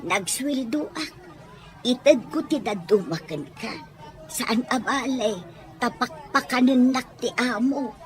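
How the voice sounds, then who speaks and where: A woman's voice: a little high, takes it slowly. A Filipino woman playing a kindly, humble, motherly servant, emotive and deferential, in a melodramatic audio drama.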